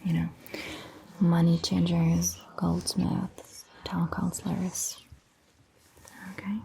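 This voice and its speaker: A woman playing a calm, attentive vampire doctor in a soft, breathy ASMR roleplay whisper, with measured, gentle phrasing. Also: fairly low pitch, slight background noise, exaggerated voice. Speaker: ASMR performer.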